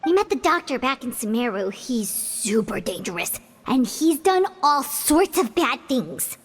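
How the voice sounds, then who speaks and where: High childlike fairy sidekick, chirpy, chatty, worried, video game dialogue. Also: female voice, very animated, unhurried, heavily acted. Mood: uneasy. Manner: refers to self in third person, chirpy.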